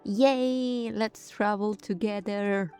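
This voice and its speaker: A woman playing a friendly, earnest young traveler in a video game, with a casual, gentle manner. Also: medium pitch, fairly even intonation, slow pace, clean tone, soft background music, close to an everyday voice. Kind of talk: video game character acting.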